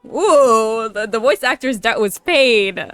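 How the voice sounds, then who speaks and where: A woman's voice: on the high side, animated, takes it slowly, fairly bright tone, clear, resonant tone, no room sound, faint music behind. A woman giving casual, slangy, teasing commentary as she plays through a game. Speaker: streamer reacting to a game.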